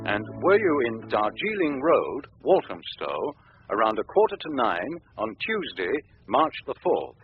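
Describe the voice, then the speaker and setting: An actor playing an earnest crime appeal presenter in a radio drama, reading a scripted broadcast. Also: male voice, medium pitch, huge pitch swings, a little slow. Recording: narrow, tinny broadcast over music. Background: music.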